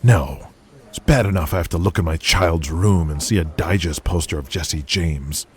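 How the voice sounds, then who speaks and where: A man's voice: sits low, moderate pace, voice pushed down, close to an everyday voice. A man voicing a proud, stubborn, sardonic wrestling boss in an audio drama, with a haughty, dry delivery. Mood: stubborn, angry.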